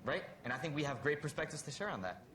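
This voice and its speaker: A man's voice: fairly high pitch, lively intonation, quick pace, a bit bright, a little hiss or hum. A young man speaking conversationally on a discussion panel, thoughtful and often checking for agreement as he gives his opinion. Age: young adult. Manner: thoughtful, frequently seeks agreement. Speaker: youth activist panelist. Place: live conference panel.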